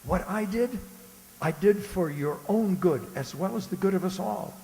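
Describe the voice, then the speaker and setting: A man acting a smug, sly, old-fashioned gentleman and false friend in a radio drama, genteel and insinuating. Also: sits high, expressive, normal speed, vocal fry. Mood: firm hushing. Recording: echoey hall, faint noise behind.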